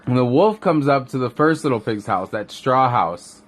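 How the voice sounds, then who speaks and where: A man's voice: medium pitch, animated, moderate pace. A man improvising a bedtime story as a father, casual and rambling, with jokey asides.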